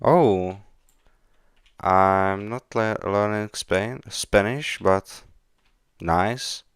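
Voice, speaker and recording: A man from the Czech Republic with a Czech accent, chatting casually on a live coding stream in halting, hesitant non-fluent English. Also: on the low side, clean tone, no room sound, clean studio sound. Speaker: game developer live streamer. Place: indoors at a computer, keyboard clicks behind.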